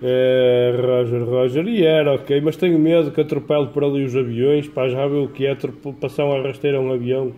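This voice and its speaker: A man's voice: medium pitch, steady tone, a little fast, hardly any pauses, phone-quality sound. A man chatting casually over the radio in a colloquial, folksy way. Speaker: amateur CB radio operator. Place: small home radio shack. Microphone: phone filming the radio, off-camera speaker. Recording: clear background noise, home-made phone recording.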